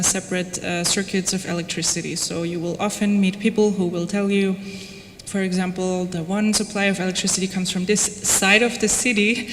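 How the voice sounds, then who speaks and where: A woman from Austria gives an informal talk about her own research in a conversational, gently wry style. Her voice rings in a reverberant hall, with some noise in the background. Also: medium pitch. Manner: conversational, wry, frequent "like" and "you know". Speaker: lecturer presenting own research project. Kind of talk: informal lecture. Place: reverberant lecture room, before an audience.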